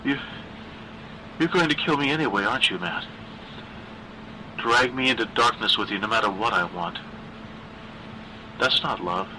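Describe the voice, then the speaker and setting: A man voicing a weary, frightened middle-aged woman who reasons and coaxes in an earnest, halting way in a horror story. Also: on the low side, pushed and bright, a little hiss or hum, rough recording. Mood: frightened pleading.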